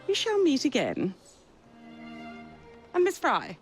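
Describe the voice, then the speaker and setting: Posh old english queen in a game, high swooping voice, bossy but playful. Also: huge pitch swings, takes it slowly, music in the background, full character voice. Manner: formal, commanding. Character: regal elderly British queen, imperious yet playful. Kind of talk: video game cutscene acting.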